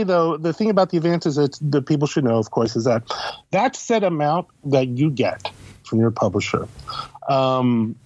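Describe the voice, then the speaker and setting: A man's voice: fairly high pitch, a little mellow, dry recording, highs cut off. A man speaking as an expert podcast guest, explaining in an emphatic, conversational way, repeating points and checking in with the listener. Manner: emphatic, repeats himself, often checks with "you know" and "right?". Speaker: podcast guest, critic and editor.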